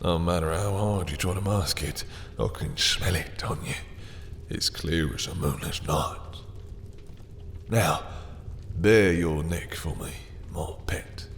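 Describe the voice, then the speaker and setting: Man playing a very low, creaky seductive vampire. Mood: dominant, pleased. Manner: slow, silky, commanding, condescending endearments. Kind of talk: intimate roleplay audio drama acting. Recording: long reverb, faint background noise.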